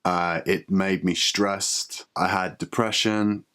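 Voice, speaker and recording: A man talks to camera in a personal video diary. His voice sits somewhat low, and his delivery is candid and reflective, with occasional halting restarts. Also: fairly even intonation, a bit bright. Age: 30s. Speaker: vlogger.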